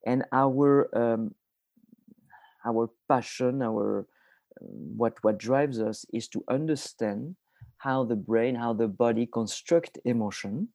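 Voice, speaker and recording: A man's voice: mid-range voice, gravelly, mellow, no room sound. A man in his forties from France with a French accent, a podcast guest who halts and searches for his words as he explains. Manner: halting, searching for words mid-sentence. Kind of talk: conversational interview answers.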